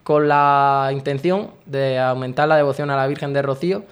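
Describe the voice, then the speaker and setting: A man's voice: mid-range voice, fairly even intonation, moderate pace, clear, resonant tone. A young man in his late teens, earnestly and devoutly describing his faith in a radio interview. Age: young adult. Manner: earnest, devout, talks at length. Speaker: guest, brotherhood member.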